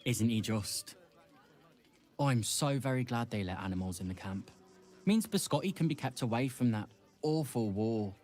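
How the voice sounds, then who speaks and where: A man's voice: medium pitch, lively intonation, normal speed, a little mellow, lightly acted. A man voicing a fussy, self-satisfied farmer who dotes on his dog in a video game. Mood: doting, defensive. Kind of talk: video game character dialogue. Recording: music behind the voice.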